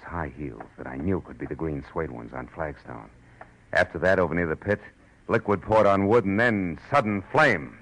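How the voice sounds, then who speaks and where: An American actor playing a wry, world-weary, wisecracking private detective in a 1940s radio drama. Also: male voice, a bass voice, low-quality recording. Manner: wisecracking, sardonic. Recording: old radio broadcast with music.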